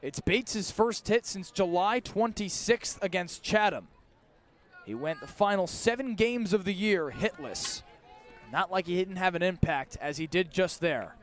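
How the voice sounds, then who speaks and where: A man's voice: high-pitched, measured pace. A man doing live radio baseball play-by-play outdoors at a ballpark, in clipped, descriptive calls that rise with the action. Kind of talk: live radio sports commentary.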